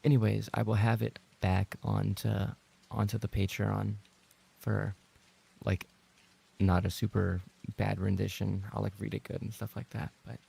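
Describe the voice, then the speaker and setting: A man's voice: lowish voice, level tone, unhurried, vocal fry, warm tone, husky. A man livestreaming and chatting casually with his viewers, bantering in a playful, mock-indignant way. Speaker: live streamer and voice actor.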